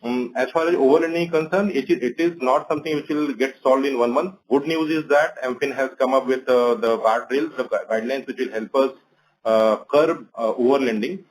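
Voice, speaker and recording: Man, Indian accent, bank executive, medium pitch, methodical explanatory answers, conference call. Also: brisk, low-quality recording.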